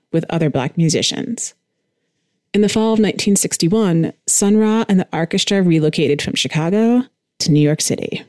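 A woman with a fairly low voice reads scripted podcast narration as the host. The recording is dry and close, with very little room sound. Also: a little mellow, dry recording.

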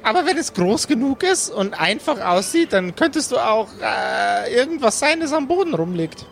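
A man's voice: very high voice, big pitch swings, moderate pace, vocal fry, a bit bright, heavily acted. A man voicing a helpful, tinkering companion in a tabletop game, pondering and hesitant as he talks.

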